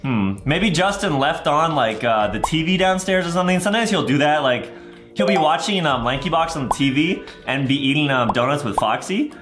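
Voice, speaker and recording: A young man with a high, animated voice hosts a gaming video for kids. He is excitable and theatrical, often shouting. He is recorded in an echoey room over music. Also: brisk. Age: 20s. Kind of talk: exaggerated kids' gaming commentary. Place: small room at home, indoors. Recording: roomy echo with loud background music.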